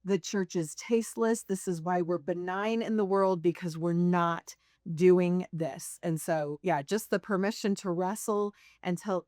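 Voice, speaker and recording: A woman's voice: lowish voice, fairly even intonation, measured pace, a little mellow, no room sound, clean studio sound. A woman speaking emphatically about faith in a casual conversation. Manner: emphatic, impassioned. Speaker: guest.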